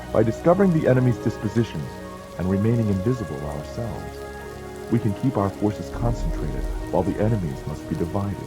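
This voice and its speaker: A man narrates an audiobook in a deep voice. His delivery is solemn and measured, declaiming each maxim as dramatized scripture-like instruction. Music plays clearly behind him, with the sound of rain.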